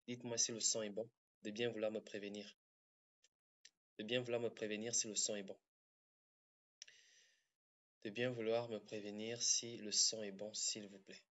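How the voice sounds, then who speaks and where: A man who has a cold, hosting a social media live stream in a halting, repetitive, apologetic way. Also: fairly low pitch, flat delivery, a bit bright, muffled recording.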